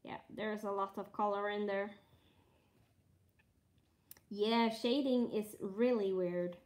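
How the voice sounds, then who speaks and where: A woman with a Norwegian accent, a native Norwegian speaker, chatting casually while stitching live and thinking aloud with frequent pauses and fillers. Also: medium pitch, fairly even intonation, a little mellow. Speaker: cross-stitch streamer.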